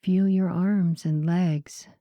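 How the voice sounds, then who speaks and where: A woman guiding a sleep meditation in a soothing, unhurried way, with a soft voice that turns breathy at the ends of phrases. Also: fairly low pitch, fairly even intonation, no room sound, highs cut off. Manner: soothing, unhurried, gentle pauses. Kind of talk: slow guided sleep meditation narration.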